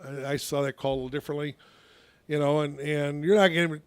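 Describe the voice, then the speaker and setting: A man, a youth softball coach, telling a long story on a casual radio talk show, with a naturally loud voice and many restarts. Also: fairly high pitch, steady tone, quick pace, clear, resonant tone, dry recording.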